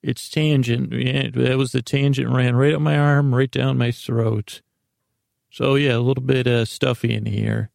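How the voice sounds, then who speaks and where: A man's voice: mid-range voice, steady tone, creaky, a little mellow, dry recording, clean studio sound. A man hosting a bedtime podcast in a soothing, lulling way, meandering and stumbling over his words as he goes. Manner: meandering, halting, word-fumbling. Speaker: podcast host. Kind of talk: rambling bedtime podcast monologue.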